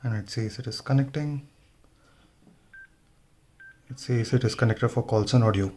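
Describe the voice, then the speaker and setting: A man's voice: mid-range voice, moderate pace, dark tone, a little hiss or hum. A man giving an informal hands-on tutorial, thinking aloud as he works. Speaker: motovlogger demonstrating gear installation. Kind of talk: casual hands-on tutorial narration.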